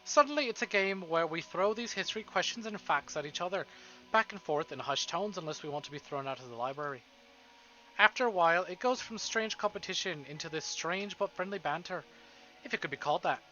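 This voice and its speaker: A man reading a visual novel aloud on a live stream, with casual, excitable, foul-mouthed reactions. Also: sits high, brisk, dull audio.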